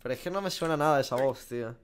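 A man's voice: medium pitch, rapid-fire. A man in his twenties with a Spanish accent from Spain, giving slangy, sweary reaction commentary as a gaming streamer. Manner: informal, slangy and sweary, thinking aloud. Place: small home room.

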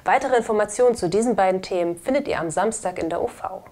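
A woman's voice: medium pitch, fast, heavy background noise. A woman in her thirties reading scripted news as a studio presenter. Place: indoor studio. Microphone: lapel.

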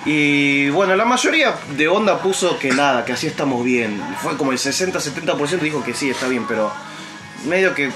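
Higher-pitched young Argentine man, music behind. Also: animated, a little fast. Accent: Argentine Spanish. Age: young adult. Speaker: host. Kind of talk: casual group chat, discussing viewer survey. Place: small tatami inn room, indoors. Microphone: camera across the table.

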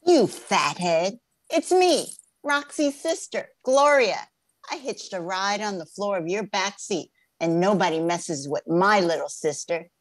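A woman's voice: mid-range voice, animated, a little slow. A middle-aged woman playing a fierce, vengeful big sister, emphatic and menacing, in an old-time radio crime drama over a video call. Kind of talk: 1940s radio drama acting. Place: small home room, video call.

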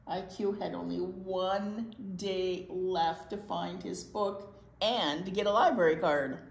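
An older woman reading a picture book aloud to children in an expressive way, with asides to young listeners. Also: lowish voice, slow, vocal fry. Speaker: library storytime reader. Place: indoor library storytime set. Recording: roomy indoor sound.

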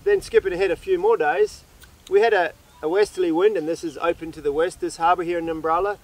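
A man's voice: high-pitched, fast talker. Chatty young man interviewing on a beach. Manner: relaxed, chatty, prompts with questions. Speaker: sailing vlog host. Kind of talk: casual outdoor interview. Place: beach by the sea, outdoors.

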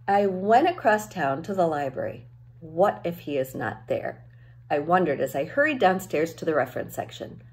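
A middle-aged woman reading a children's novel aloud as an expressive storyteller, recorded at home on a handheld-distance device. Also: fairly low pitch. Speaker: teacher reading a book aloud. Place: small home room with bookshelves.

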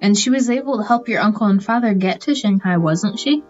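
Woman acting interviewer in a radio play, normal pitch, dull audio, bit of piano. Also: brisk, darkish tone, natural voice. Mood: curious, sympathetic. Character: attentive interviewer of an old refugee. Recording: dull sound, piano underneath.

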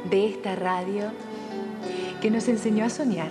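A woman's voice: medium pitch, fairly even intonation, a little slow. A woman reading a scripted tribute as co-presenter. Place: TV studio. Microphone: hanging studio microphone. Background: music.

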